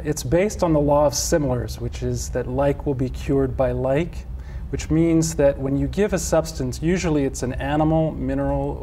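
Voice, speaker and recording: A middle-aged man from Switzerland, a physician and homeopath, giving measured explanatory interview answers with frequent restarts. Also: mid-range voice, warm tone, slight background noise.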